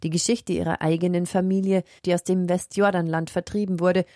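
A woman reporter reading a culture news report in a measured voice. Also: on the low side, flat delivery, warm tone, no echo, clean studio sound. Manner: measured, read-out delivery.

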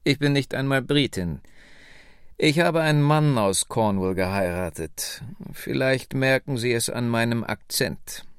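Audiobook role: man as proud woman in her nineties, wistful storyteller, unhurried delivery, creaky edge, measured and dramatic. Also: mid-range voice, no echo, studio quality, close to an everyday voice. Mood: wistful, earnest. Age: 90s.

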